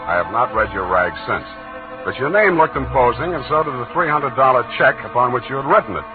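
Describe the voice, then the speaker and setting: An actor playing a wry, hard-boiled private detective in a 1940s radio drama, full of dry, sardonic wisecracks. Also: male voice, medium pitch, expressive, a little fast, close to an everyday voice. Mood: wry, dictating. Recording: tinny old radio recording with music.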